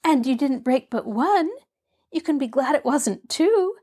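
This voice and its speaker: A woman's voice: high-pitched, lively intonation, a little slow, mellow, pitched above the performer's own voice. A woman voicing a cheerful, earnest little girl in a storybook, chattering on in an eager, artless, rambling way. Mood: delighted. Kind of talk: children's storybook character voice.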